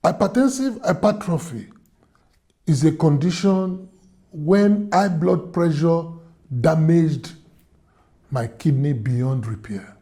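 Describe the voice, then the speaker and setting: A man from Nigeria telling his own story in a slow, halting, earnest way, with music under his voice. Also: fairly high pitch, expressive, mellow. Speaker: fundraiser subject. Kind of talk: personal testimony.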